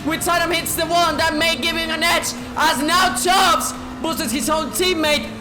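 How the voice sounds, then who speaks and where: Woman, high voice, sim race commentator, sore strained throat, swears, shouts, echoey room, music behind. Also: fairly even intonation, normal speed, background noise. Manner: swears a lot, shouts at big moments, drops to a low tone to save her voice. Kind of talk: excited sim racing commentary. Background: background music.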